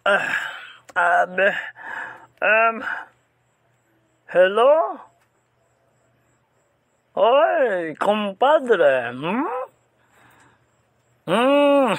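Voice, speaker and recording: Man playing an expressive, slow-talking Filipino attorney. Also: high voice, no echo, heavily acted. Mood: casual, wary. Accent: Hiligaynon Filipino. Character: male attorney, familiar and expressive. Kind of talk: suspense audio drama acting.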